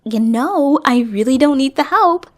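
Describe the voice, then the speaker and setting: Proud ninja wife lady acting, high voice, slow talker, little music behind. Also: expressive. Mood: reluctant. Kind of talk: fan audio drama acting.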